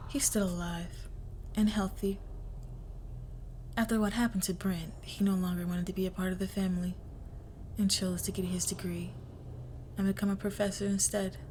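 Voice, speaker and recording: A woman playing a crime boss's cold, menacing young daughter in an intimate audio roleplay, speaking in a hushed, deliberate near-whisper. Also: fairly low pitch, slight background noise, natural voice. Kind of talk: intimate scripted audio roleplay, dramatic monologue.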